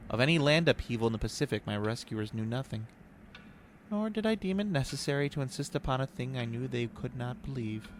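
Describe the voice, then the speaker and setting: A man's voice: mid-range voice, voice pushed down, lightly acted. Man acting a haunted, anguished survivor, noisy ambience. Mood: subdued, weary. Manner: theatrical, anguished, ornate first-person confession. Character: haunted, morphine-addicted male shipwreck survivor recounting horrors. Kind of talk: dramatic audio horror storytelling.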